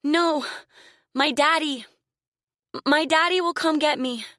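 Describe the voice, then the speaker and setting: Scared little girl, high and pleading, loud and desperate, as a woman reads her, dry close sound. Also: takes it slowly, no echo. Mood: terrified pleading.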